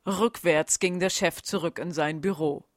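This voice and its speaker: A woman narrating a comic fan audio play in a deadpan, scripted storytelling style. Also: on the low side, unhurried, no room sound.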